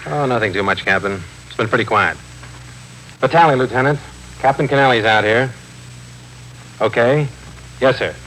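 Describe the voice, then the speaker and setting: A man's voice: medium pitch, moderate pace, long pauses, fairly bright tone, close to an everyday voice. A man playing an easygoing, deferential police detective in a squad room scene of a 1950s radio drama. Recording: noisy 1950s radio recording.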